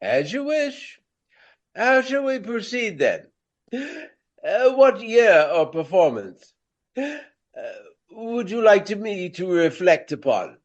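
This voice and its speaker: Pompous, high-voiced Regency dandy. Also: male voice, big pitch swings, slow, dull audio, full character voice. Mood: self-important, genial. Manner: florid, formal, grandiloquent. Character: pompous, vain Regency gentleman actor, courtly and self-satisfied. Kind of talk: radio play acting.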